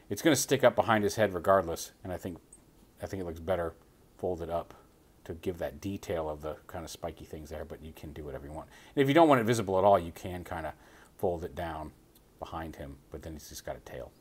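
Man, low voice, casual toy review, step-by-step explaining, indoor tabletop. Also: fast talker, husky. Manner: casual, explains step by step while handling a figure. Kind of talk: hands-on toy review walkthrough.

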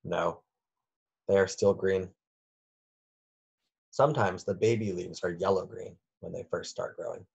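Muffled, low-voiced man reading a picture book. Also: fairly even intonation, measured pace, long pauses, a little mellow. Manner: lilting questions, simple clear phrasing for young children. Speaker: children's book reader. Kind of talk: read-aloud of a picture book, question and answer.

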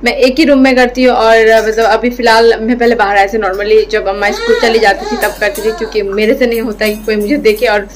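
A woman's voice: a little high, brisk, few pauses. A young woman chatting casually to viewers at home while she unboxes groceries with her child, in a loose, repetitive way. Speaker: vlogger and mother. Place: indoors at home.

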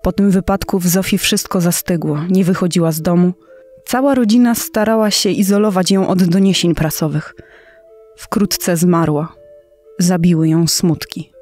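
A woman's voice: on the low side, steady tone, normal speed, a little mellow. A woman reading a quoted testimony in a scripted style. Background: music under the voice.

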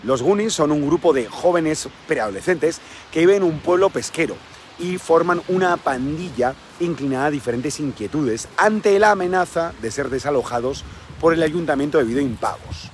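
A man's voice: fairly high pitch, a little hiss or hum. A man in his forties talks casually and eagerly about a film, filming himself on a phone held out at arm's length in an open-air lot. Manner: enthusiastic, chatty selfie presenting. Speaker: film review host. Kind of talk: casual film review to camera. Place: outdoor drive-in cinema lot.

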